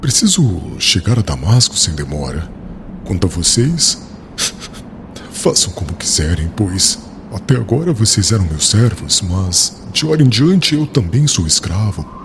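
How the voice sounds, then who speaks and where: A man plays a young rabbi struck blind, in an audiobook drama. His low voice is humble and grieving, with halting, widely swinging phrases, over clearly audible noise. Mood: sad, humble. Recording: clear steady background noise.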